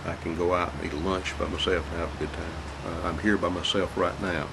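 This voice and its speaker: An older man with a congested, croaky voice, reminiscing about his life alone at home in a halting, rambling way with small chuckles. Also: sits low, steady tone. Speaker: vlogger telling his life story. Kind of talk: casual reminiscing monologue. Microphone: camera mic. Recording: noisy home camera recording.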